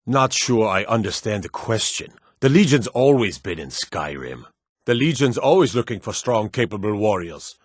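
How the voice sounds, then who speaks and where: An actor playing a gruff, proud Nordic warrior and guard in a fantasy video game, speaking in a firm, emphatic, declamatory way. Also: male voice, on the low side, sing-song, normal speed, no echo, studio quality. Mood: dutiful, weary. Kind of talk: dramatic video game dialogue.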